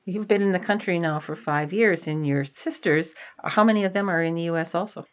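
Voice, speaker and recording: A woman hosting an interview podcast, introducing and questioning in a measured, polite manner. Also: lowish voice.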